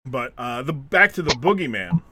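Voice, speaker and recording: A man co-hosting a casual podcast chat, animated, acting out quotes and laughing often. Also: medium pitch, normal speed, noisy background. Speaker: podcast co-host.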